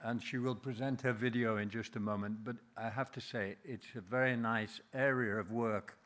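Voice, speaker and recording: A man's voice: mid-range voice, fairly even intonation, normal speed, a bit bright. A man with a Yorkshire accent giving a speech as a jury member presenting an award at a ceremony. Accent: Yorkshire English. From Yorkshire, England.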